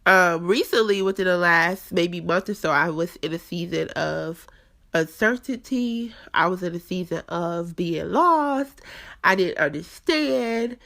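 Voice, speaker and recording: A woman's voice: on the low side, expressive, unhurried, gravelly, a bit bright. Stuffed-up young woman hosting a podcast. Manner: chatty, candid, addresses listeners directly. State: stuffed-up nose. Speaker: podcast host. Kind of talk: casual faith-based podcast talk. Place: apartment.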